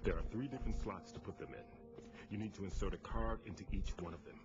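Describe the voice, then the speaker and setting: A man playing a captive defense agency chief in a video game, explaining things in a deliberate, conspiratorial way. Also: lowish voice, close to an everyday voice. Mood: urgent, explanatory. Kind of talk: dramatic video game cutscene acting. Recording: dull sound under loud music.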